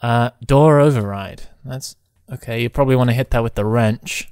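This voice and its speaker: A man casually commentating on a game he is playing, excitable and given to exclamations. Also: mid-range voice, normal speed, clear, resonant tone, dry recording, clean studio sound. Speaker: gamer playing a co-op roleplay map.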